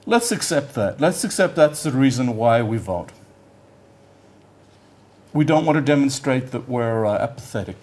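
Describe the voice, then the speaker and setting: Older male economist, Yiddish first language, mid-pitched, halting conversational conference lecture. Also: lively intonation, darkish tone. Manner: halting, searches for words, repeats "I, I". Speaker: economics professor giving a lecture.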